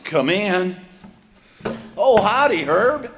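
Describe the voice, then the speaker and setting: A man's voice: sits high, big pitch swings, extremely slow, a bit bright, a little hiss or hum, exaggerated voice. An actor playing a plain-spoken train engineer and father with a folksy drawl, in a stage reenactment of an old-time radio drama. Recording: reverberant theater hall.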